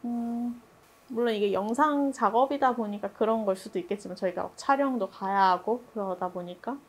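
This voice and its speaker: Higher-voiced woman, thoughtful and hesitant. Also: a little fast. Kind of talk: reflective group discussion.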